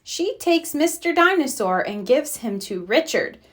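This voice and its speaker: A woman narrating a children's storybook in an expressive way for young listeners. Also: high-pitched, a little slow. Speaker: read-aloud storyteller.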